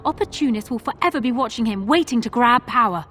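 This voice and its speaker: A woman's voice: on the high side, animated, quick pace, fairly bright tone, a little hiss or hum. A woman voicing a proud, shrewd fantasy queen in a video game, speaking formally with regal assurance. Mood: scornful certainty.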